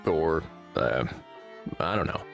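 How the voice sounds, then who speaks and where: An actor playing a laid-back but shrewd lawman in a video game, with a dry, measured, casually commanding manner. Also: rumbling low, sing-song, gravelly, fairly bright tone, soft background music, heavily acted. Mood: calm, analytical. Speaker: lawman character in a story quest.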